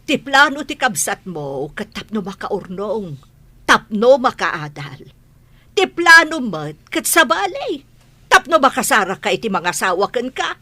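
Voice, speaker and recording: A woman's voice: fairly high pitch, very animated, measured pace, bright, forceful tone, no room sound, exaggerated voice. A Filipino woman playing a reproving village mother in a radio comedy drama. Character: scolding village mother of two daughters.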